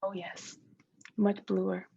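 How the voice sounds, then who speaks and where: A woman's voice: mid-range voice, level tone, takes it slowly, creaky, warm tone, husky, highs cut off, full character voice. A woman voicing an imaginary girl friend, knowing and placating with a needling edge, in a literary read-aloud. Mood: soothing, placating.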